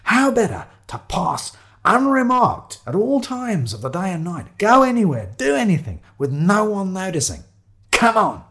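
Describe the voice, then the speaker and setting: A man's voice: sits high, huge pitch swings, moderate pace, a bit bright, pitched above the performer's own voice, heavily acted. A man voicing a haughty, commanding homeless man with a pompous, wordy, formal manner in an audio story. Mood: excited. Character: Shiloh, indignant haughty man sleeping rough, commanding.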